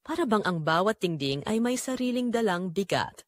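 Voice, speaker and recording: A woman with a Filipino accent playing a betrayed middle-aged mother, telling her story solemnly and deliberately in an audio drama. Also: mid-range voice, animated, no echo, clean studio sound, lightly acted. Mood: sorrowful, weary. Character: betrayed middle-aged mother, wounded but quietly resolute. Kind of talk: dramatic first-person audio story narration.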